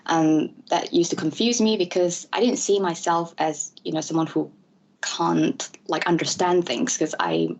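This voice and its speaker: A woman from Malaysia in her thirties, a guest in an interview, who talks in a halting way with many fillers and restarts. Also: lowish voice, expressive, vocal fry, darkish tone, slight background noise. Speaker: interview guest, ADHD advocate. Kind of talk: conversational interview. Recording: dull lo-fi audio.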